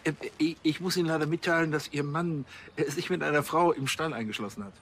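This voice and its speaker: High-voiced, fussy police chief. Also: male voice, quick pace, fairly bright tone, slight background noise. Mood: officious, flustered. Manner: officious, stammering when flustered. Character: fussy, irritable, self-important police chief. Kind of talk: television crime comedy acting.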